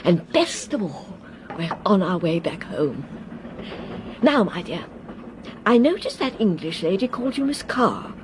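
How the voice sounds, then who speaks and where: An actress playing a kindly older English governess in a radio drama, effusive, fussy and eager to confide. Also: female voice, very animated, unhurried, pauses often. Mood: delighted. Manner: effusive, fussy, calls the listener "my dear". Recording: train rumble and noise behind.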